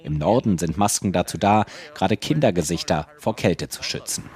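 Deep-voiced male radio correspondent. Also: moderate pace, darkish tone, slight background noise. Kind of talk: scripted radio report.

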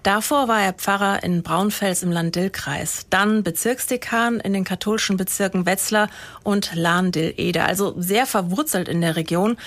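A woman reporter lays out the facts of a story in a studio exchange. She speaks clearly and explains step by step, at a middle pitch. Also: moderate pace. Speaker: radio reporter. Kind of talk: reporter answering in a studio interview.

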